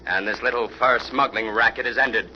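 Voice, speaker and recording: A stern male avenger with an extremely sharp, forceful voice. He is menacing and commanding in old radio drama style, on a dull, slightly noisy recording. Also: medium pitch, fairly even intonation, moderate pace. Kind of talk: 1940s radio drama acting.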